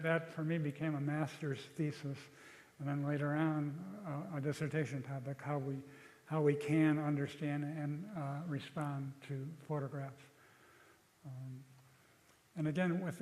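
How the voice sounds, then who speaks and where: An older man giving a lecture and taking questions, speaking in a thoughtful, halting way with frequent restarts. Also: a little high, a little mellow, in a large hall, poor audio.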